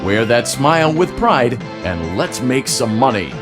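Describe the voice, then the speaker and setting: A man's voice: medium pitch, big pitch swings, a little slow. A man voicing an upbeat, salesy corporate training narrator in a video game, with a peppy, encouraging manner. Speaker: tutorial guide for a pizzeria franchise. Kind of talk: scripted tutorial narration. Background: music.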